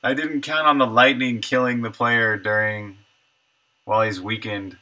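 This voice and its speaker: A man's voice: medium pitch, steady tone, normal speed, pushed and bright. A man in his thirties giving casual think-aloud commentary while he works, half to himself in short fragments, as a game developer streaming.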